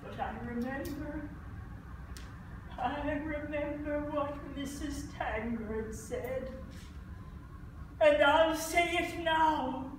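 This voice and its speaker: A woman performing a grief-stricken mother in an anguished, halting theatrical stage monologue. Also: high-pitched, full character voice. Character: grief-stricken Irish mother mourning her son. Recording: echoey theatre, distant mic, faint noise.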